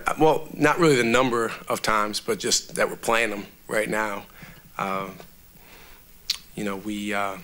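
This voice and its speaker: Bright-voiced coach with lots of fillers. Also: male voice, lowish voice. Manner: measured, steady, many "uh, you know" fillers. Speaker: college basketball coach. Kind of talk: post-game press conference answers. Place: press room before a sponsor backdrop. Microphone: table microphone.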